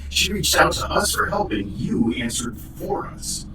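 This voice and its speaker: Roomy, edgy technician with swooping pitch, played by a man. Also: big pitch swings, measured pace. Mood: accusing, hurt. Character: stressed, sweary signal technician with strained loyalties. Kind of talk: audio horror drama acting. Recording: roomy, distant, noisy.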